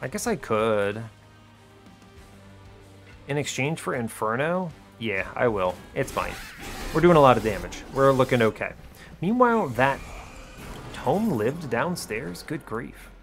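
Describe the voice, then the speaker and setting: A man gives casual, breezy gameplay commentary in a mid-pitched voice. He thinks out loud in a chatty way, with a wry, understated delivery. Faint music plays behind him. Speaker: host of an educational gaming video series.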